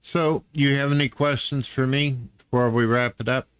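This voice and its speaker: A man's voice: medium pitch, steady tone, normal speed, a bit bright, clear, resonant tone, no room sound. A man from the United States hosting a podcast, chatting in a laid-back way with dry, sarcastic humor. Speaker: podcast host. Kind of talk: casual conversation.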